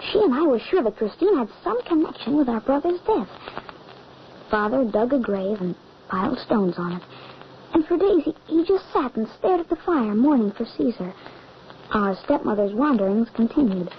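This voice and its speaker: A woman's voice: a little high, lightly acted. A woman playing an anxious young boy who narrates a grim family tale in earnest, dramatic old-time radio style. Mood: mournful. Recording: muffled, noisy old radio recording.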